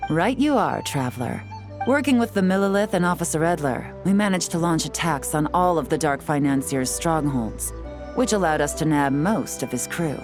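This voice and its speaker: A composed female spy with a low voice, sly and self-assured, teasing as she talks through her schemes. Soft game music sits faintly behind her. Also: lively intonation, moderate pace. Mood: scheming. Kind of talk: video game voice acting.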